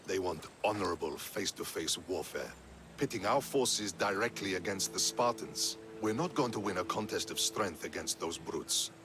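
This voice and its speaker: An actor playing a proud, curt, ruthlessly pragmatic Athenian general in a video game cutscene. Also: male voice, low-pitched, expressive, normal speed, background noise. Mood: haughty, determined. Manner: curt, haughty.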